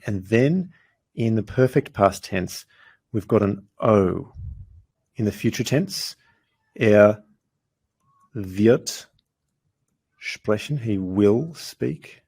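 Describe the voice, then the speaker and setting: Low, gravelly male language teacher. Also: a little mellow. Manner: patient, clear, slows down for foreign phrases. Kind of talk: explaining grammar with example sentences.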